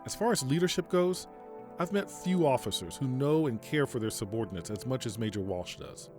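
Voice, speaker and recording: A man playing a stern, principled army general in an audio drama, speaking formally and deliberately. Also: mid-range voice, expressive, over music, natural voice. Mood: formal approval. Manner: formal, measured, deliberate speech. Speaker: military general giving a radio interview.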